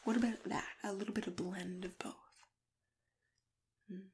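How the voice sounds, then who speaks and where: A woman speaking softly and unhurriedly in an ASMR matchmaker roleplay, gently drifting in and out of whispers. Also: mid-range voice, steady tone, moderate pace, background noise. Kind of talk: ASMR roleplay, soft-spoken chat with whispering. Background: computer keyboard typing and clicking.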